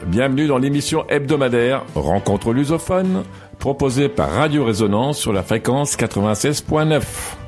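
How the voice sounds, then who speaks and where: A man's voice: medium pitch, lively intonation, normal speed, a little mellow, faint music behind. Chatty male radio host. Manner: chatty, joking with the technician. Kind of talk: casual radio presenting.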